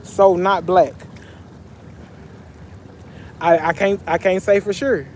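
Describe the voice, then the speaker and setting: A man's voice: high voice, fairly even intonation, slow, slight background noise. A young man from Texas chatting casually on a phone livestream by a pool, reading out comments in a loose, slangy way. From Texas, USA. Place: outdoors by a pool.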